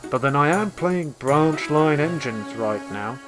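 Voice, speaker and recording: Storybook casting: a kindly, unhurried big engine with a soft, steady tone, voiced by a male narrator. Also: on the high side, music in the background, voice pushed up. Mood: calm. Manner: gentle and calm.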